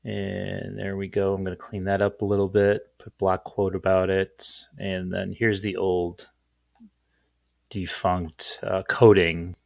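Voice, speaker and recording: A man's voice: low voice, little pitch movement, takes it slowly, vocal fry, dry recording, clean studio sound. A man hosting an informal, off-the-cuff screen-share tutorial in a rambling, self-deprecating way. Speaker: tutorial host.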